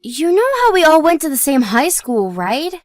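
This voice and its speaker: A woman playing a supportive, modest young wife in scripted story dialogue, speaking in a measured, reassuring way. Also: high-pitched, very animated, no room sound, faint music behind. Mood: chatty, even-tempered.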